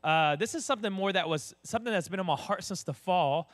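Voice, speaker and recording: A young man, an associate pastor with a booming voice, telling stories in a casual, animated sermon. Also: quick pace. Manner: animated storyteller, acts out voices.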